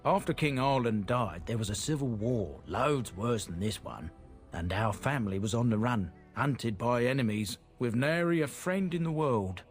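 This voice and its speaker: A man's voice: mid-range voice, lively intonation, moderate pace, natural voice. Folksy, earnest fantasy merchant, played by a man, music behind. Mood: earnest, proud. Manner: folksy, chatty, inverted phrasing. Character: male merchant of a proud disgraced family, earnest, chatty. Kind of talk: fantasy video game dialogue. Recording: game audio with clear music behind. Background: game music.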